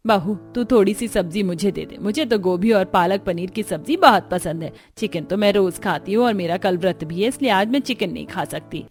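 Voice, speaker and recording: A woman's voice: medium pitch, normal speed, hardly any pauses, a little mellow, soft background music, lightly acted. Woman playing a homely older mother-in-law. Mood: gentle. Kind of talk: Hindi cartoon story dialogue.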